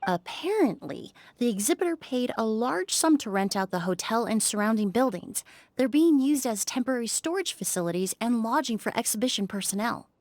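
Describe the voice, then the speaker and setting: An actress playing a refined young woman perfumer in a video game, polite, measured and composed. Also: fairly high pitch, expressive, darkish tone, a little hiss or hum. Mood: informative.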